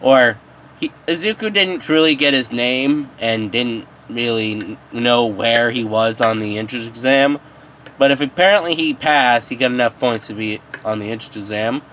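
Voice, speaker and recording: A man's voice: mid-range voice, moderate pace, a bit bright, a little hiss or hum. A man narrating improvised fan fiction, stumbling and repeating words as he goes. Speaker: storyteller.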